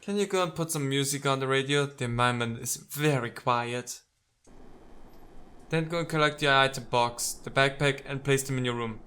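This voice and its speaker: A man's voice: medium pitch, normal speed, bright, forceful tone, clean tone, faint music behind. A man giving casual, playful let's play commentary, slipping into theatrical readings of game text and talking to the characters.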